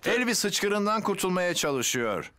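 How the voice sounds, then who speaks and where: A friendly fireman hero from a children's cartoon. He instructs reassuringly in a lively, somewhat high voice. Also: slow, exaggerated voice. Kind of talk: animated children's show dub.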